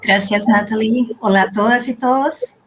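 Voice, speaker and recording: A woman's voice: a little high, noisy background. Woman presenting in careful Spanish. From Brazil. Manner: careful, measured delivery in a second foreign language. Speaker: panelist, researcher. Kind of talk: prepared conference presentation.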